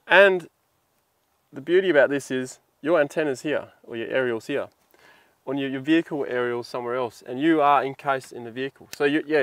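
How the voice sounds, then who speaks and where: A man's voice: medium pitch, fairly bright tone, no room sound. An Australian man giving an informal instructional explanation in a plain-spoken, colloquial, practical way. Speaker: instructional video presenter.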